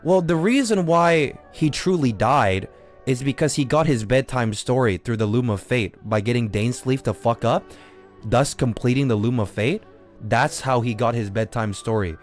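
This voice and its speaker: A man's voice: medium pitch, normal speed, soft background music. A man live streaming a game with unscripted commentary, arguing insistently, repeating himself and swearing casually. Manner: argumentative, repetitive, swears casually.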